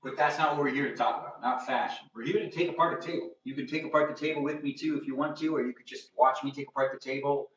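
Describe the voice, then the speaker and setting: A man's voice: medium pitch, a bit bright. Rambling man in his thirties, roomy and distant. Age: 30s. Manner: playful and rambling, sing-song asides. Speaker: livestream host. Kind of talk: casual livestream chat. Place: home kitchen area. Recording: roomy, distant camera audio.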